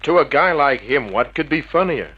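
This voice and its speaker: An actor playing a wisecracking, cynical private detective with an American accent, dry and sardonic in a 1950s radio drama manner. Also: male voice, on the high side, expressive, normal speed, slightly rough. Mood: shrewd. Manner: dry, sardonic, hard-boiled. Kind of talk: 1950s hard-boiled radio drama acting and narration. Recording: muffled vintage radio recording.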